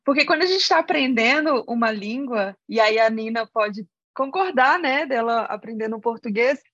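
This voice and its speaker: Co-host, female, high voice, lo-fi dry recording. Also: expressive, moderate pace, fairly bright tone, no echo. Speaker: podcast co-host. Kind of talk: interview questions. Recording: dull dry lo-fi sound.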